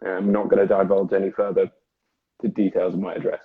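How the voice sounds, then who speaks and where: A man's voice: sits low, little pitch movement, fairly quick, husky. A man chatting casually and bantering as a guest on a live stream. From grew up French-speaking, lives in New York. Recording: dull, lo-fi live stream audio.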